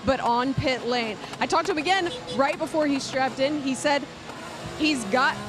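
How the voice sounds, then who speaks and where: A woman reporting live from pit lane at a racetrack, brisk and informative. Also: high voice, over music. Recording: noisy outdoor pit lane.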